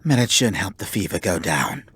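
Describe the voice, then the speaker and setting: A man playing a haughty, grudgingly caring male kitsune in an ASMR roleplay, speaking formally and theatrically with a breathy, husky voice. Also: low voice, big pitch swings, normal speed, fairly bright tone, dry recording, exaggerated voice. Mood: bossy, grudging care. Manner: formal, theatrical, exasperated scolding. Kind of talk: ASMR roleplay acting, addressing the listener.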